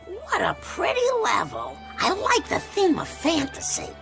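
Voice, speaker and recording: A woman voicing a prim, gentle but firm cartoon mother in a comedy game, with a gravelly voice. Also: extremely high pitch, wildly swooping pitch, a little slow, exaggerated voice. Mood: coy. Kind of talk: animated comedy game cutscene acting. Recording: game music behind the voice.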